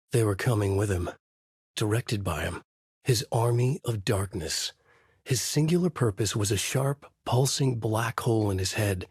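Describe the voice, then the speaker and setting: A man voicing a brooding, haunted crime novelist from a horror video game, narrating in a grave, intense, deliberate noir style with a husky voice. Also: fairly low pitch, darkish tone, dry recording, clean studio sound. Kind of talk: noir scripted narration and character lines.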